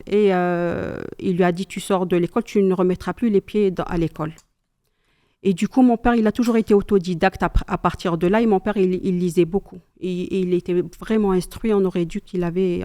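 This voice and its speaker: A middle-aged woman from Algeria, a mother telling her family's story in a calm, thoughtful casual chat with pious asides. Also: fairly low pitch. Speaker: mother sharing memories.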